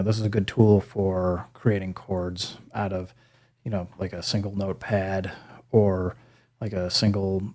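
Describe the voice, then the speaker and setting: A man hosting a casual hands-on music gear tutorial, thinking aloud in a hesitant, self-deprecating way. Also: mellow. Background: synth pad and bass sample demo sounds.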